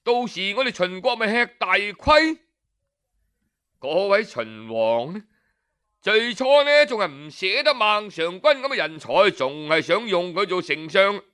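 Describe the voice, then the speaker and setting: A man telling a traditional tale as an audiobook storyteller, colloquial and expressive, with rhetorical questions and exclamations. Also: high voice, unhurried, clean tone.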